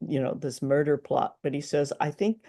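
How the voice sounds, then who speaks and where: A woman's voice: deep voice, normal speed, warm tone. A woman hosts a casual review show about old radio drama. She talks conversationally with her co-host. Her manner is playful and wry. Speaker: podcast host.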